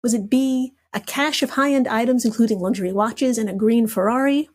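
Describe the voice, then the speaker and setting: A woman with a fairly high voice runs a comedic trivia quiz. She moves between reading questions and chatting casually, with a playful storytelling manner. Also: a little fast, darkish tone. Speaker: podcast guest quizmaster.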